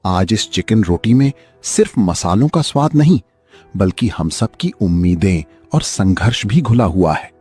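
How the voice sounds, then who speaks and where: A man's voice: fairly low pitch, animated, unhurried, a little mellow, breathy edge, soft background music. A man voicing a hardworking poor food vendor who speaks earnestly to his family. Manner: earnest, heartfelt.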